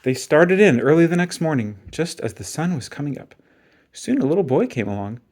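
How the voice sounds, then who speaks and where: A man reading a children's picture book aloud in an expressive storytelling style. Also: on the high side, brisk.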